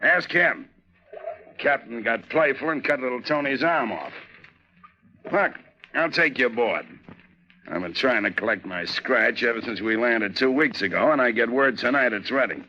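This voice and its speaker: An actor playing a gruff, cynical, hot-tempered veteran sailor, blunt and slangy, in a 1940s radio drama. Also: male voice, mid-range voice, expressive, normal speed, sharp tone. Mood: cynical, curt. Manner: blunt, slangy, tough-guy. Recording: muffled 1940s radio recording.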